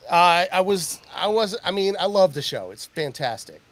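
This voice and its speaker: A man co-hosts a podcast, chatting casually. He rambles and hunts for his words, filling the gaps with ums and uhs, in a voice pitched somewhat high for a man. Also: animated, moderate pace, fairly bright tone, slight background noise. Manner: rambling, searches for words with many fillers. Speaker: podcast co-host. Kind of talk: casual chat.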